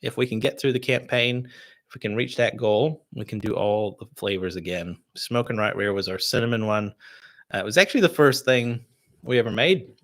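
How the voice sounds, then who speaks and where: A Canadian man with a North American accent, hosting a casual livestream and answering viewer questions in a relaxed, rambling way. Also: medium pitch, fairly quick. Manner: relaxed and rambling, with many fillers and restarts. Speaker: distiller hosting a livestream tasting.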